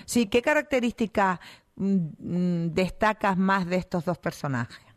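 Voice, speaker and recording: A woman's voice: medium pitch, a little slow. Woman interviewer asking brief questions. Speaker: radio interviewer. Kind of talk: radio interview.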